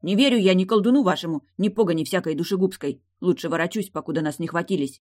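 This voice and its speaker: Woman narrator doing grumpy stubborn village boy, hot tempered, gruff outbursts, mellow tone, storybook. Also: on the low side, fast talker, close to an everyday voice. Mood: sullen, stubborn.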